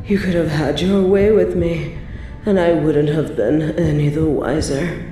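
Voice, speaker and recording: A woman playing an evil, possessive medieval queen in a roleplay audio drama, haughty and theatrical with drawn-out, breathy asides. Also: sits low, fairly even intonation, mellow, reverberant, slight background noise. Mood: smitten, teasing. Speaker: voice actor in a scripted roleplay audio.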